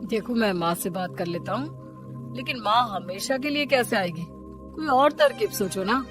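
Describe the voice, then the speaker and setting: A woman voicing a put-upon, evasive young city husband in an animated story. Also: fairly high pitch, a little slow, music in the background. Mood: evasive, weary. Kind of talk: animated storybook character acting.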